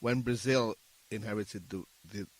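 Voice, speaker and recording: Low voiced guy, musician being interviewed, chats casually and kinda halting as he explains stuff. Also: poor audio.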